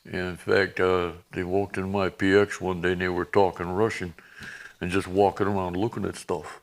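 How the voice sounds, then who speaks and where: A man in his eighties, a veteran guest reminiscing in plain-spoken, halting, folksy talk. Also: deep voice, flat delivery. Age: 80s. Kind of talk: reminiscing in a conversation.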